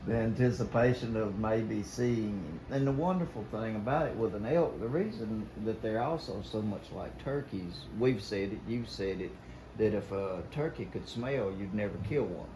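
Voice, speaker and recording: A man's voice: on the low side, moderate pace. An older man with a Southern US accent telling stories casually in a folksy, rambling way. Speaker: guest, veteran hunter telling stories. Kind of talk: casual storytelling chat. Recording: roomy echo with clear background noise.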